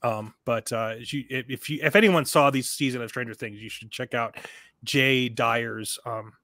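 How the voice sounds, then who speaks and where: A middle-aged man talks casually as a livestream host. His delivery rambles and circles back on phrases with emphasis. His pitch sits in the middle range, and the sound is dry and close. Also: moderate pace, pushed and bright, no echo. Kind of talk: casual commentary on a livestream, reading articles aloud.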